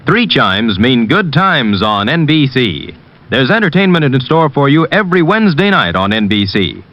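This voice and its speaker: Radio network promo man, old style, lively mid voice, muffled. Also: medium pitch, normal speed, faint music behind. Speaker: network announcer. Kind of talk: scripted 1950s radio promo. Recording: muffled vintage radio recording.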